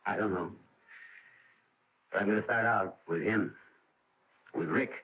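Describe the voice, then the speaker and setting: A man's voice: fairly low pitch, a little slow, vocal fry, highs cut off. An actor playing a stern, suspicious middle-aged American father in a radio drama, with a blunt, commanding manner. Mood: grim. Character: tense, stern father protective and suspicious of visitor. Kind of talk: old radio drama acting. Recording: band-limited old radio recording.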